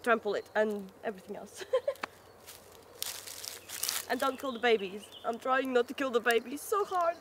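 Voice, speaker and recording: A chatty woman in her thirties with a fairly high, bright voice, giggling and thinking aloud as she talks. She is recorded on a camera mic, outdoors in woodland and later in a home kitchen. A faint hiss of background noise sits under her voice. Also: moderate pace, pauses often. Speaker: homesteading vlogger. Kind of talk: casual vlog chat. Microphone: camera mic, handheld close then fixed camera.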